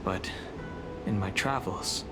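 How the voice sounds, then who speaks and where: A reserved young wanderer and fighter, calm and measured. His voice sits fairly low. Loud game music plays underneath. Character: young male wandering dragon warrior, reserved. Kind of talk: game voice acting.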